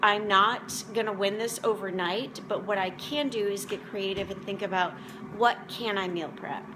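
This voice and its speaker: A woman's voice: medium pitch, fairly even intonation, normal speed. Rambling woman in her forties, noisy recording. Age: 40s. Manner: rambling, conversational, addressing viewers. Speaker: motivational vlog host and food prep coach. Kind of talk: casual chat to camera.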